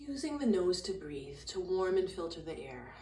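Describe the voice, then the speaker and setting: A woman guiding a meditation in a calm, gentle, unhurried way, leaving long pauses between short phrases. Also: on the low side. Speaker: meditation guide. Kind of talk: guided meditation, slow soothing instruction. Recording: roomy echo, distant mic, faint noise.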